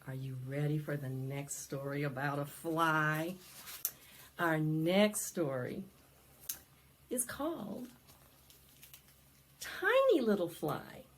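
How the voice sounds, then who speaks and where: Female children's storytime host, unhurried pace, very lively pitch, sing-song delivery. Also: slight background noise. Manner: sing-song, dramatic, asks children questions. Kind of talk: animated preschool read-aloud with singing.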